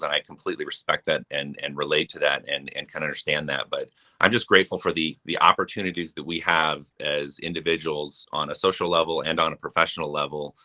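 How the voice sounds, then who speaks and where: A young man with a low voice and a bright, forceful edge. He talks earnestly as a guest on a live stream and often restarts mid-sentence. Originally from Japan. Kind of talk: casual chat.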